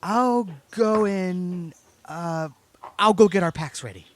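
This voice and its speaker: An actor playing an awkward, apologetic young male swordsman, hesitant and halting, in an audio drama. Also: medium pitch, a little hiss or hum, close to an everyday voice. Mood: sheepish. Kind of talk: fan audio drama acting.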